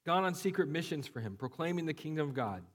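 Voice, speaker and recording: A man preaching a sermon while he has a cold. His voice is low and flat, and he talks conversationally with many fillers and restarts. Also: fast talker, breathy edge, in a large hall. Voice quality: low, monotone voice. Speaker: pastor.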